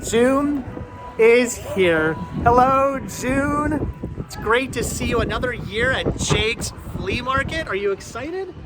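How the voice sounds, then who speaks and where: A man's voice: extremely high pitch, expressive, takes it slowly, rough recording. A man hosting a casual vlog, chatting in a rambling way and repeating words for emphasis. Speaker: vlogger host. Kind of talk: casual vlog chat to camera and friends.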